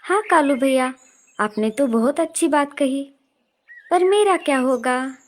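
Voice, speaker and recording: Woman voicing an earnest high-pitched little cartoon bird. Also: a little slow. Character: helpful, caring young female bird Lado. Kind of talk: Hindi children's cartoon story voice acting.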